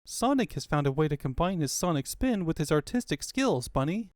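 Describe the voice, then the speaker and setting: A man's voice: fairly high pitch, big pitch swings, a little fast, dry recording, clean studio sound. A man giving scripted narration for a comic book fan dub. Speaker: narrator and voice performer.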